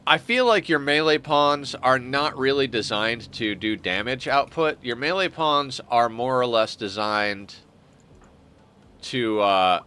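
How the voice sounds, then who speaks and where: A man's voice: mid-range voice, slow pace, bright, forceful tone, clear, resonant tone. A young man with a stuffed-up nose giving rambling, wry commentary while streaming a game. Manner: rambling, self-correcting, wry. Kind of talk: casual gameplay commentary.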